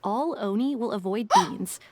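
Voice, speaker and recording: A woman voicing a composed, knowing female detective in a video game, measured and articulate. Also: a little high, animated, background noise. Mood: knowing, helpful. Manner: measured, articulate, coolly assured.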